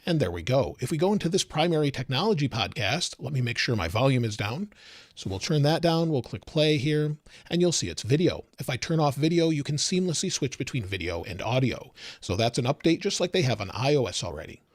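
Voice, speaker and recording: A man demonstrates software in a dry, close-sounding recording. His intonation moves in lively swings while he explains each step in a steady, guiding way. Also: mid-range voice, a little fast, no echo. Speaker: tech reviewer demonstrating software. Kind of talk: screen-recorded software walkthrough.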